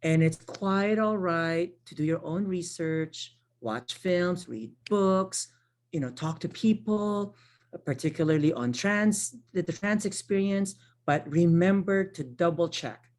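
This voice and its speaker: Low-voiced woman presenting a workshop. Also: slow pace, darkish tone. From Philippines. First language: Tagalog. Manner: conversational, frequent tag questions and self-corrections. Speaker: workshop presenter, social worker. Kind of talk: online training presentation.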